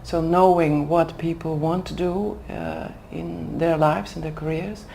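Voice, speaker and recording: Woman, deep and mellow tone, careful halting in an interview. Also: fairly even intonation, gravelly, slight background noise. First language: Dutch. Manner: careful, hesitant, pauses to find words. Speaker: executive development manager. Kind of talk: interview answers in a second language. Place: language school in Oxford.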